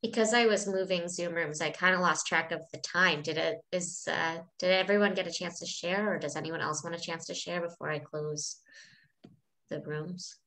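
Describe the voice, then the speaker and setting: A woman rabbi checks on everyone during a video call. Her voice is low and bright, and she keeps things moving with a brisk manner. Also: fairly even intonation. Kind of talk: moderating a video meeting.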